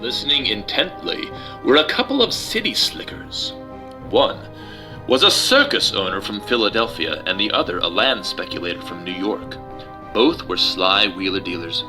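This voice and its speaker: A man's voice: fairly low pitch, sing-song, moderate pace. A man giving a dramatic, theatrical and emphatic reading of a children's story, with music behind him. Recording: music under the voice.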